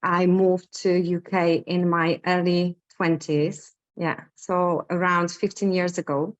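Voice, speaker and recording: A woman's voice: on the low side, level tone, slow pace, muffled recording. A woman in her thirties from Poland with a Polish accent, telling her story candidly as a guest on a video call. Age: 30s. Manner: chatty, candid storytelling with fillers. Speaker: guest artist. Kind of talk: casual interview on a video call.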